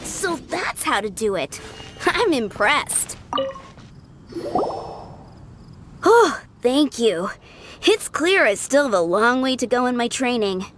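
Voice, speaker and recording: A woman's voice: fairly high pitch, big pitch swings, unhurried, noisy background. An actress voicing an earnest young woman swordfighter who is eager but weary, in an anime game. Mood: humble, grateful. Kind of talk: scripted anime game voice acting.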